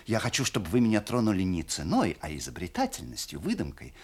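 An actor voicing a capricious young fiancée in a dramatic story reading, speaking in a coy, deliberate, halting manner. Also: male voice, lowish voice, huge pitch swings, slightly rough, pitched below the performer's own voice, exaggerated voice. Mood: coy, demanding. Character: young fiancée, exalted, capricious, coquettishly demanding a gift.

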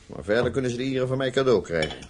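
An actor playing a blunt, disdainful army officer in a Dutch radio play, with a clipped, condescending manner. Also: male voice, medium pitch, expressive, rapid-fire, lightly acted. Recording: noisy old radio play recording.

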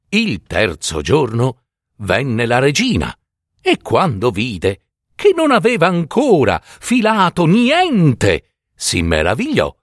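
A man telling a fairy tale in an expressive, theatrical and deliberate way, lingering on words. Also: on the high side, no echo, clean studio sound. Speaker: storyteller.

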